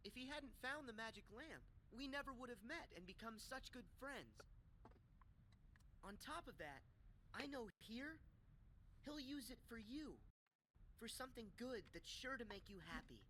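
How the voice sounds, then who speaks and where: A man's voice: very high voice, expressive, moderate pace, long pauses, sharp tone, a little hiss or hum, heavily acted. A man voicing an earnest, eager young boy hero, loyal and cheerful, in a video game. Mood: warm gratitude. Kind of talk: video game cutscene voice acting.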